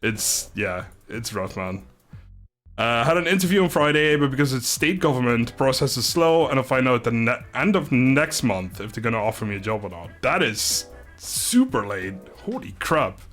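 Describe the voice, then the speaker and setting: A man from the Netherlands chats loosely on a livestream at a middle pitch, with music playing behind him. He sounds low on energy and laid-back, rambling from one thought to the next. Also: lively intonation, moderate pace, a bit bright. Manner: rambling, laid-back, swears mildly, often chuckles. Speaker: live streamer. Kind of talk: casual livestream chat with viewers.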